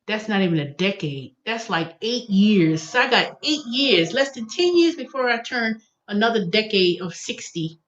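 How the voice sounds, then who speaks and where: A woman in her early fifties with an American accent talks at a medium pitch in a casual, rambling livestream style. She thinks out loud, often repeating and correcting herself. The recording is a little dull and lo-fi. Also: lively intonation, moderate pace, hardly any pauses. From United States. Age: early 50s. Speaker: livestream host.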